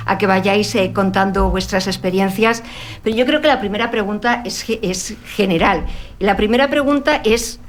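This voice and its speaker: Female moderator, rhetorical and repetitive, mid pitch. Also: slight background noise. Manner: animated, rhetorical, repeats phrases. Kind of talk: moderating a round-table discussion.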